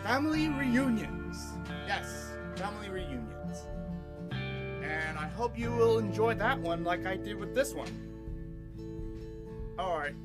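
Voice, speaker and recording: A man hosting an audio play podcast, reading his own writing theatrically with a halting, dramatic delivery. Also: high voice, animated, loud music behind the voice.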